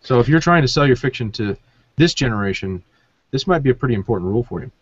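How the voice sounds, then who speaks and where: A man's voice: mid-range voice, animated, quick pace, husky, no echo, muffled recording. A man hosting a podcast in a casual, chatty way with a dry, teasing sense of humour. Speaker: podcast host. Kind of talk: casual chat.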